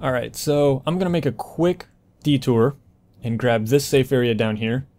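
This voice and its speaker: A man's voice: mid-range voice, moderate pace, darkish tone. A man doing casual, conversational gameplay commentary, explaining things as he plays, over game ambience. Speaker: gameplay walkthrough host. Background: video game ambience.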